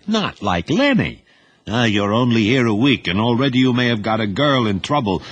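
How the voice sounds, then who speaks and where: A man voicing a gruff, stern middle-aged businessman uncle in an audio drama. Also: mid-range voice, sing-song, normal speed, no echo, lo-fi sound, voice pushed up. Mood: exasperated.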